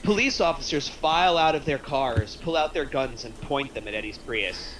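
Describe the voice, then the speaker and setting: A man's voice: mid-range voice, normal speed, fairly bright tone, a little hiss or hum, poor audio. A man reading out screenplay stage directions as a narrator in a scripted table read.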